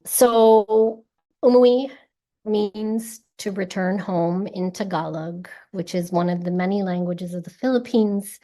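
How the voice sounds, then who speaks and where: A woman in her forties, an educator and founder, giving a thoughtful, earnest interview answer in a measured way. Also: mid-range voice, warm tone, low-quality recording. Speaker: guest, ethnic studies educator and founder.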